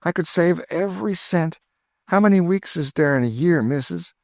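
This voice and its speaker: A man's voice: a little high, expressive, moderate pace, no echo, clean studio sound, pitched above the performer's own voice. A man voicing an eager, chatty older woman cook with an in a storybook reading. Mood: delighted. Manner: exclaiming, folksy, eager.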